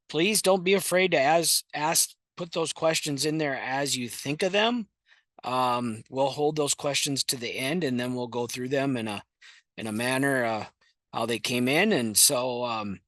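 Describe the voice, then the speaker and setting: A man hosting an online extension webinar, introducing the speaker with many ums and halting phrases. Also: mid-range voice, fairly bright tone, no room sound. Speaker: webinar host.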